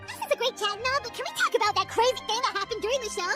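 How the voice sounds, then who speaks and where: A woman voicing a tiny, excitable, childlike flying fairy companion in a video game, with a squeaky voice and bubbly, exaggerated delivery. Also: rapid-fire, music in the background. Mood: excited. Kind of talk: scripted video game voice acting.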